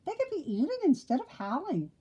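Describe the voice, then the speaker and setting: Woman putting on a high-pitched greedy little pig. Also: huge pitch swings, brisk, warm tone, dull audio. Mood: puzzled, impatient. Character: greedy, oblivious little pig brothers, cheerful and hungry.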